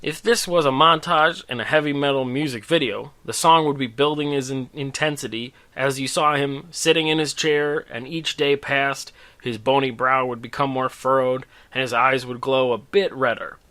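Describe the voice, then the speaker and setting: A man's voice: medium pitch, steady tone, normal speed, few pauses, pushed and bright. A man hosting and reading his own humorous story, with wry, conversational asides. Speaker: storyteller and host.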